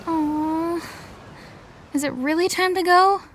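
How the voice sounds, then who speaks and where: A woman's voice: extremely high pitch, fairly even intonation, slow pace, fairly bright tone, heavily acted. A woman playing a bubbly, sweet, eager young fan in an audio drama, gushing and drawing out her words. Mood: wistful, playful. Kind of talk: naturalistic audio drama dialogue.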